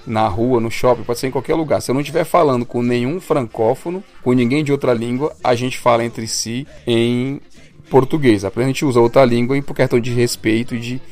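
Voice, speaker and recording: A Brazilian man talks through his ideas in a relaxed podcast conversation. He sits at a middle pitch, explains at length and sometimes restarts a sentence. Soft music plays faintly behind him. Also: a little fast. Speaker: podcast co-host. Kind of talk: casual podcast chat.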